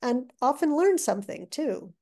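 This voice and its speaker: An older woman, a guest author, telling stories in a chatty, animated way with restarts, heard over a video call. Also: sits high, normal speed, creaky. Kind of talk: casual interview chat. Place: living room with brick wall. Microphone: video call webcam.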